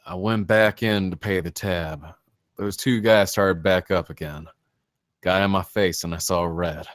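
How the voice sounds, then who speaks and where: A man's voice: low voice, fairly even intonation, unhurried, clean tone, no room sound, clean studio sound. An actor playing a troubled, unraveling man haunted by guilt in a screenplay table read. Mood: evasive, casual.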